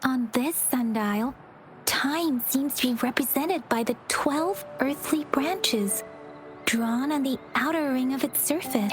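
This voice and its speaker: A woman playing a gentle, studious young scholar in a video game, polite and measured as she explains. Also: high-pitched. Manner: measured, soft-spoken, explanatory. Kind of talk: English video game voice acting.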